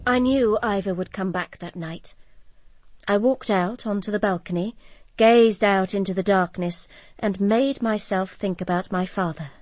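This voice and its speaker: An actress playing a troubled, guarded grieving daughter, earnest and reflective, in an audio drama. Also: female voice, medium pitch, unhurried, darkish tone, dry recording, natural voice. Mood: reflective, sombre. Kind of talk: audio drama acting and first-person narration.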